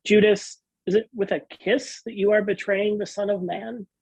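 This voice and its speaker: A pastor reads scripture in a solemn, dramatic style, with earnest and deliberate delivery. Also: medium pitch, fairly even intonation, gravelly, a little mellow, slightly rough, dry recording.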